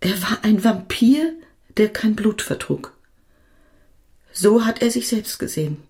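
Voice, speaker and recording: A woman's voice: mid-range voice, expressive, unhurried, a little mellow. An actress playing a devoted woman doctor who tells of her love in a reflective, intimate radio drama monologue. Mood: reflective, tender.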